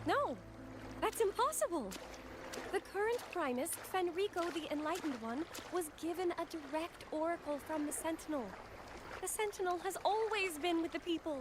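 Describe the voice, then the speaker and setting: A woman voicing a small, earnest, excitable girlish companion in a video game, with emphatic delivery. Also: extremely high pitch, normal speed. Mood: indignant. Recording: music behind the voice.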